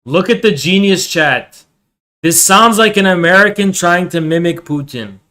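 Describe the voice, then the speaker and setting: High, bright ranting streamer man. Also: animated, measured pace. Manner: ranting, profane, repetitive mocking. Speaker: streamer reacting. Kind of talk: live stream commentary.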